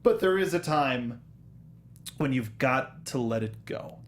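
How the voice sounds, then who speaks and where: A man's voice: mid-range voice, animated, takes it slowly, a little hiss or hum. A man hosting a casual film review discussion, often rephrasing himself and joking as he goes. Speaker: talk show host.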